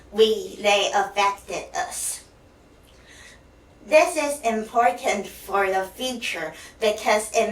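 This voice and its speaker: Slow, careful teenage girl giving a speech. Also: medium pitch, a bit bright. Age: teens. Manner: careful, slightly halting phrasing. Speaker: student contestant. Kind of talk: prepared contest speech. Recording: echoey hall, mic at a distance.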